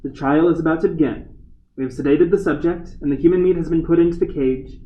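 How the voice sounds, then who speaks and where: A man's voice: fairly high pitch, steady tone, fast, roomy sound, highs cut off, close to an everyday voice. A man playing a ruthless, domineering scientist in an amateur radio play, speaking in a stern, commanding way. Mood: clinical. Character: ruthless, domineering male military scientist, cold and obsessive.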